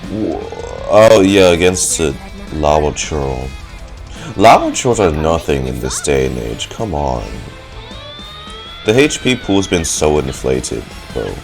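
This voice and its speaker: Low-voiced man reacting, widely swinging pitch. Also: big pitch swings, slow, music in the background. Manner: slangy, profane, exclamatory. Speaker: streamer reacting to game trailers. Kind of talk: casual live reaction commentary.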